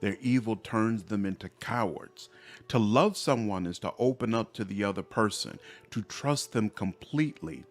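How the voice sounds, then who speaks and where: Man as a gentle, remorseful reformed demoness. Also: lowish voice, moderate pace, natural voice. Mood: tender.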